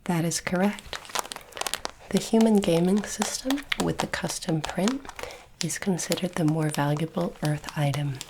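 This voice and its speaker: A polite alien appraiser, played by a woman, speaks in a low, breathy whisper. The delivery is slow, measured and formal, each word placed with care in an ASMR roleplay style. A clear background hiss and small clicks sit behind the voice. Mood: calm, approving. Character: polite, formal alien artifact appraiser training a human recruit.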